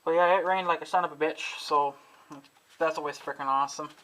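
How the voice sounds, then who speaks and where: Guy rambling on his home vlog, swears a lot, kinda high bright voice. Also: steady tone, brisk, a little hiss or hum, low-quality recording. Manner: rambling, swears often, frustrated. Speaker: vlogger. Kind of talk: casual talking to camera about gear.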